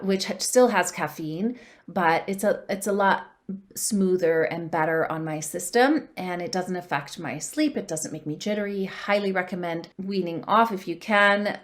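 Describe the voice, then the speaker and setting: A woman's voice: on the low side, fairly bright tone. A woman in her forties, a dating coach, talking earnestly and upbeat to camera with frequent ums as she gives advice. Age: 40s. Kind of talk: solo advice talk to camera.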